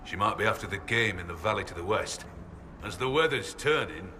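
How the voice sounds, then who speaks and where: Actor as rustic old man, low pitch, wry, folksy, fantasy game, noisy ambience. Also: animated, fairly quick, natural voice. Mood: wry, gruff. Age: older. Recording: clear background ambient noise.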